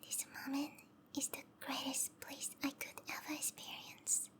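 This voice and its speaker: A woman voicing a sweet, obsessive snake-woman lover in a whispered ASMR roleplay, speaking softly and tenderly with intimate, lingering phrasing. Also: high-pitched, monotone, measured pace. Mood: adoring. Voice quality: soft, breathy whisper.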